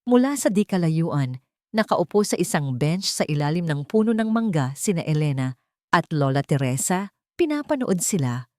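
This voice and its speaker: A woman narrating a scripted audio drama in an expressive, emotive storytelling manner. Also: low voice, moderate pace, no room sound, studio quality.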